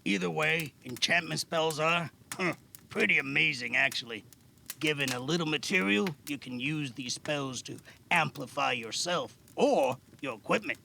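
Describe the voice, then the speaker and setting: Weathered fantasy veteran , male actor: gravelly, bright-edged voice, modest and measured, amateur audio drama. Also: fairly high pitch, slight background noise, heavily acted. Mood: thoughtful. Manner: measured explaining with thoughtful hums. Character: Alan, weathered veteran male caster, patient, modest, wryly guarded.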